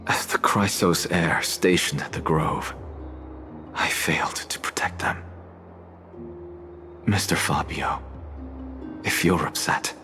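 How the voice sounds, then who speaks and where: Very deep, solemn scholar. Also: male voice, sharp tone, in a whisper, heavily acted. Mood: solemn, regretful. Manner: solemn, measured. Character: grave, composed scholar and guardian, adult man. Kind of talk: dramatic game voice acting. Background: clear background music.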